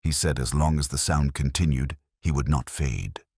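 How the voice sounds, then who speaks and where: A man voicing a frightened, grieving, devoted actress in an audiobook, hushed and pleading. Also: rumbling low, steady tone, normal speed, husky, no echo, studio quality, heavily acted. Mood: hushed, fearful. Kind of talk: audiobook character acting.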